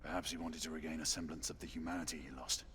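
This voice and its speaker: An actor playing a loyal, grave veteran knight, terse and calm in a game cutscene. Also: male voice, rumbling low, very fast. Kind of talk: dramatic video game cutscene acting. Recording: clearly noisy background ambience.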